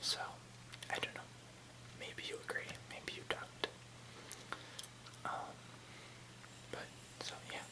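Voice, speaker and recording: An ASMR host speaking entirely in a soft, unhurried whisper, reading aloud and chatting casually. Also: low-quality recording.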